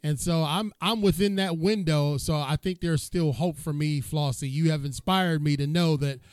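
A male host with a notably high voice, talking in a folksy, joking way. The sound is close and dry, with no room echo. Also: moderate pace, clean tone. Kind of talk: casual interview chat.

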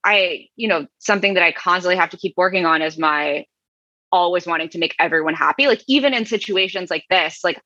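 A woman's voice: lowish voice, a little fast, pushed and bright, dry recording. A woman co-hosting a podcast in casual chat, hesitant and filling her sentences with fillers and restarts. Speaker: podcast co-host. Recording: dull, dry recording.

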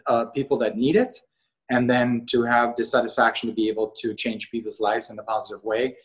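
A middle-aged man from Austria, a company co-CEO, answering interview questions in a measured way with many fillers. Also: medium pitch, level tone, husky, poor audio. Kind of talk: interview conversation.

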